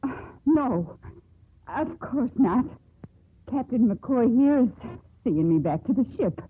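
An actress playing a spirited, proud young sea captain's daughter in a theatrical 1950s radio drama style. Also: female voice, on the high side, lively intonation, slow pace, husky, exaggerated voice. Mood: poised, playful. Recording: old radio broadcast, narrow band, noisy.

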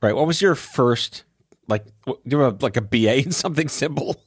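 Irreverent, animated male podcast host. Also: medium pitch, a little fast, husky, no echo, clean studio sound. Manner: irreverent, joking, swears freely. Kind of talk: casual interview chat.

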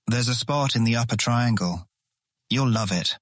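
An actor playing an earnest, awkward and easily charmed guild guard in a fantasy audio drama, eager to please. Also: male voice, mid-range voice, moderate pace, fairly bright tone, no echo, studio quality, lightly acted. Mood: hesitant, hopeful.

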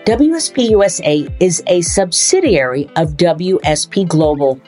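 A woman hosting a podcast, reading a scripted introduction and then chatting, who speaks expressively and slows down to stress key words. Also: fairly low pitch, expressive, darkish tone, soft background music. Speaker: podcast host.

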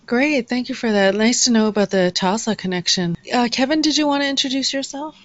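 Woman host, middle-pitched, reads intro then cues guests, lo-fi sound. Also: a little fast. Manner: scripted intro, then soft prompting questions. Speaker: podcast host. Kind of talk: interview hosting.

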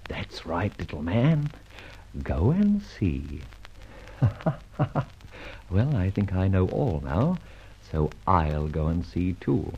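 A man playing a suave, flippant gentleman adventurer who teases wryly, in an old radio crime serial with dull sound. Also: sits low, sing-song, slow pace, vocal fry, pauses often, slight background noise, full character voice. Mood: sly, musing. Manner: flippant, teasing, debonair.

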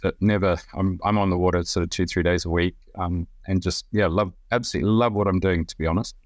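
A middle-aged man with a New Zealand accent speaks in a deep voice. He talks as a relaxed podcast guest, with a lot of fillers and restarts. The recording is dry and close. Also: fast, no echo. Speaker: guest, brand director. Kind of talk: casual podcast interview.

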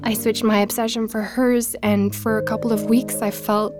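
A woman's voice: on the high side, flat delivery, normal speed, natural voice. Troubled woman podcaster confessing over music, played by an actress. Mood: self-blaming. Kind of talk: confessional podcast narration in an audio drama.

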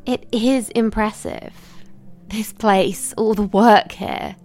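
An actress playing a thoughtful woman newcomer in an audio drama, gentle, hesitant and sincerely questioning. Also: mid-range voice, fairly even intonation, slow, close to an everyday voice. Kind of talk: naturalistic audio drama acting.

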